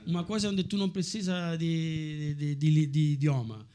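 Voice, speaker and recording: An Italian man of middle age, a restaurant owner telling his story in a casual chat, animated and laughing as he talks. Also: on the high side, moderate pace. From Italy.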